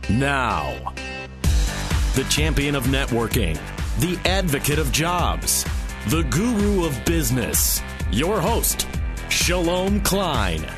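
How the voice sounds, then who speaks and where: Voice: mid-range voice, very animated, slow pace. An announcer reading a scripted radio show intro in a dramatic, emphatic way. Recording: music under the voice. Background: music.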